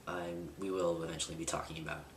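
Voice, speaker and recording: Young man, deep voice, casual candid vlog, far from the mic, noisy background. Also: flat delivery, quick pace. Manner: candid, rambling with fillers and restarts. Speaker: vlogger. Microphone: camera mic at a distance. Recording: roomy, distant, with loud background noise.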